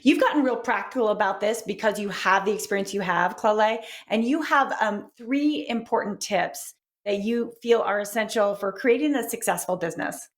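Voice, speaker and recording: A woman's voice: mid-range voice, normal speed, few pauses. Bubbly female talk show co-host. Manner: bubbly, friendly, warm affirmations.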